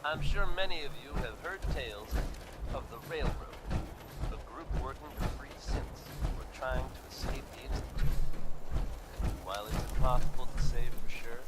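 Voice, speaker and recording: A man's voice: medium pitch, normal speed, very noisy, lightly acted. A man as a distant in-game radio announcer. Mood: measured.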